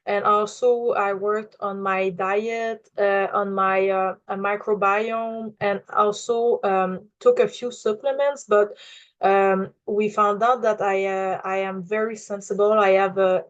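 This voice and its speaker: A young woman in her twenties speaks English with a Quebec French accent, her native tongue showing as she searches for words. She talks in a flat, even tone, full of "uh" and "um" and small restarts. The audio is a little dull and lo-fi. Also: mid-range voice, slow pace. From Quebec, Canada. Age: 20s. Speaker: client giving a testimonial. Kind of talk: unscripted personal testimonial.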